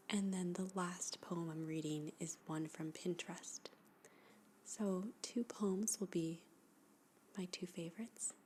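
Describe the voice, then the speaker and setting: A woman speaks in a hushed ASMR whisper, taking her time with long, deliberate pauses. Her voice sits slightly low, and a light layer of background noise runs under the recording. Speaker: ASMR video host. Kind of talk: whispered ASMR talk and poetry reading.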